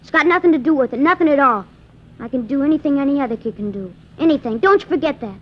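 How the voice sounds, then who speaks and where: A woman's voice: high-pitched, animated, fast talker. A woman playing a defiant, cocky small teenage boy with sullen, snappy American backtalk in a 1950s radio drama. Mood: defensive. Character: defiant, cocky small fourteen-year-old boy thief. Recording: muffled vintage radio recording with noise.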